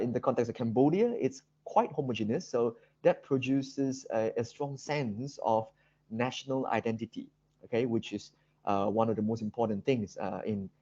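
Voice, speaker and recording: A man with a Malaysian accent giving an academic seminar presentation, speaking haltingly with very frequent ums and repeated words. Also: fairly low pitch, darkish tone. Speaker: guest lecturer. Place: online video call seminar. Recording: dull, roomy video-call audio.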